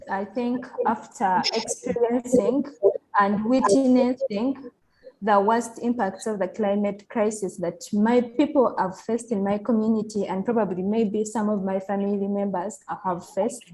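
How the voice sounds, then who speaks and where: A woman answering on a discussion panel, earnest, slowing down to stress her words. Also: a little high, a little mellow, rough recording. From Uganda. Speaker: panelist, climate activist.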